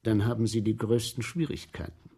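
Actor as a mellow, deferential little gentleman. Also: lowish voice, little pitch movement, slight background noise, natural voice. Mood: dutiful, explanatory. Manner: obedient, formal, literal, measured. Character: deferential, matter-of-fact little gentleman, sewer guide. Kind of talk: German radio play dialogue.

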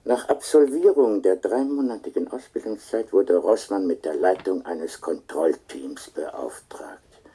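An actor playing an impersonal official who reads a personnel record in a formal, clipped and detached way in a radio drama. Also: male voice, deep voice, a little slow, warm tone, husky, full character voice, low-quality recording. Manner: clipped, detached, matter-of-fact.

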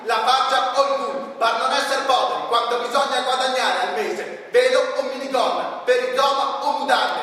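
A man's voice: very high-pitched, moderate pace, few pauses, bright, forceful tone. A man acting as a sardonic, relentless stage reciter, declaiming clipped, repetitive lists in satirical theatre. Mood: interrogating, blunt. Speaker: stage actor. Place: theatre stage, recorded at a distance. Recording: echoey hall from far off, faint noise.

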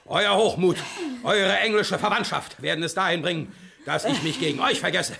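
Raging, creaky-voiced Prussian king. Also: sits high, normal speed, sharp tone, a little hiss or hum, exaggerated voice. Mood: threatening. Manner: blustering, bellowing, swinging to cold menace. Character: raging tyrannical Prussian king, a choleric husband. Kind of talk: radio play acting.

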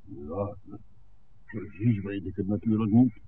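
Shamefaced village worthy, evasive, deferential, lowish voice, old radio drama. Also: male voice, slow, gravelly. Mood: sheepish, reluctant. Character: sheepish parish council chairman caught profiting from smuggling. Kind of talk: 1960s radio play acting. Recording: tinny, noisy old radio recording.

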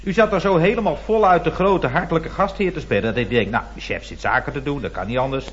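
An actor playing an eager, naive employee in an old radio comedy, deferential and tactlessly earnest. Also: male voice, fairly high pitch, fast talker, close to an everyday voice. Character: eager, naive, yes-man male employee. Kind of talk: 1970s Dutch radio comedy acting. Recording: muffled old radio recording.

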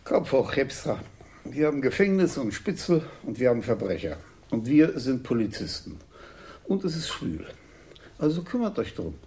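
Male actor as police commissioner, authoritative, terse, unruffled, warm dark timbre, lively intonation, radio play. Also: medium pitch, moderate pace, lightly acted. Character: brisk, unruffled police commissioner giving orders. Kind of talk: German radio crime drama acting.

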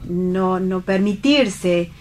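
An Argentine woman in a casual radio discussion, speaking hesitantly and pausing to search for words. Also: fairly low pitch, a little mellow. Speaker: radio panelist.

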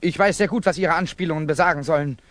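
An actor playing a bitter, guarded, touchy young Russian student in a 1960s German radio drama, with clipped, defensive questioning. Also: male voice, a little high, fast, fairly bright tone, natural voice. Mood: indignant.

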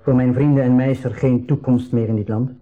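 A man playing a composed rebel leader in a radio drama, earnest, measured and deliberate. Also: mid-range voice, fairly even intonation, phone-quality sound, soft background music, natural voice. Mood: composed, resolute. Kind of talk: 1980s school radio drama acting.

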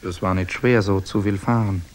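A man's voice: low voice, fairly even intonation, moderate pace, slight background noise, natural voice. An actor in a German radio drama playing a hardened soldier who narrates his own tale in archaic, clipped diction. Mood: wry, reflective.

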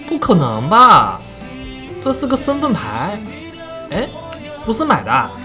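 A woman playing a brash, excitable student in an audio drama, blustery and exclamatory, with a Nanchang accent. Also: medium pitch, a little slow, faint music behind, voice pushed up, exaggerated voice. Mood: eager, doubting. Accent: Nanchang-accented Mandarin. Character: brash, excitable student receiving a birthday gift.

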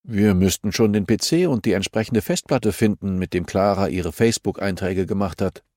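A man's voice: deep voice, normal speed, darkish tone, no echo, clean studio sound, lightly acted. A man voicing a businesslike female investigator in an audiobook, firm, wry and politely insistent. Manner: matter-of-fact, politely insistent.

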